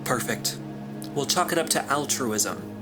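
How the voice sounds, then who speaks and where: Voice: mid-range voice, a little slow, over music, lightly acted. An actor playing a glib, friendly corporate clerk in a sci-fi audio drama, breezy, wry and casual in a naturalistic style. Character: glib, teasing, friendly corporate clerk smoothing things over.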